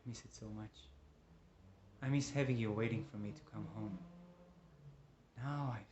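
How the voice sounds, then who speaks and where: A man performing a dramatic monologue as a lonely, embittered father, emotive and moving between hushed and agitated. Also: fairly low pitch, normal speed, highs cut off, a little hiss or hum, lightly acted. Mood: wistful. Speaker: monologue actor. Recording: roomy, echoing room.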